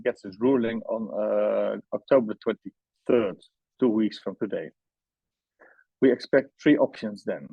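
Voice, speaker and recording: A man's voice: on the low side, a little mellow, husky, dry recording. A man reads a formal prepared presentation on a webinar, stumbling over the odd word. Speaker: housing organisation representative presenting at a webinar. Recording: dull, band-limited webinar audio.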